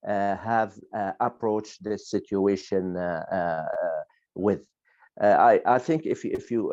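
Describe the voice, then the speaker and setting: A man giving advice as a panelist in an online discussion, speaking haltingly and repeating words as he goes. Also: lowish voice, warm tone, no room sound. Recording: dull lo-fi online call audio.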